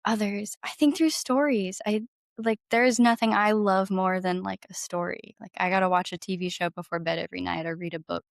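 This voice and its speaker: A woman's voice: medium pitch, steady tone, a little fast, vocal fry, no echo, studio quality. A woman chatting casually as an interview guest, informal and playful, peppering her sentences with "like". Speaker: podcast guest, musician. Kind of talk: casual chat.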